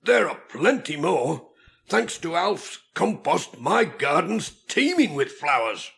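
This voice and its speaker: A man voicing a friendly, eager village gardener, brisk and helpful, in a children's audiobook. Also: fairly high pitch, sing-song, vocal fry, fairly bright tone, pitched above the performer's own voice, full character voice.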